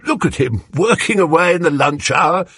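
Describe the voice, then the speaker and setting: A bluff, cheery zealot of a neighbour, put on by a man. He is chummy and eager, and his lively voice leaps about with a husky grain in a dry, close sound. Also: a little high, normal speed, dry recording, pitched above the performer's own voice. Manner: cheery and eager, chummy "old boy" talk. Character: hearty, bluff, sweaty zealous male neighbour.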